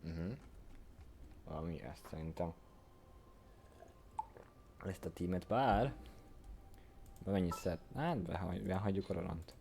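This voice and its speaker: A man's voice: low voice, measured pace, vocal fry, long pauses, slight background noise. A man giving casual game stream commentary in short, muttered reactions. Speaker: gaming streamer. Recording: voice over loud game music. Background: game music.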